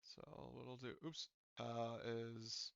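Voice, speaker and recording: A man running a tabletop game as game master, narrating casually and haltingly, often circling back to rephrase. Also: mid-range voice, monotone, vocal fry, fairly bright tone, clear, resonant tone, dry recording, muffled recording.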